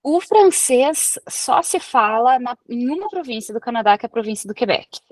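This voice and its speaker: Chatty Brazilian woman, fairly high voice. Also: expressive, normal speed, a little mellow, dry recording. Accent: Brazilian Portuguese. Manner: chatty, explains with everyday examples. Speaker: guest researcher. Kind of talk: casual interview conversation.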